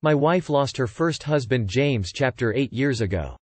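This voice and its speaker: Man, narrator, even delivery, mid pitch, dry close recording. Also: normal speed, slightly rough, no room sound. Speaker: narrator reading online posts. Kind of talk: flat scripted reading.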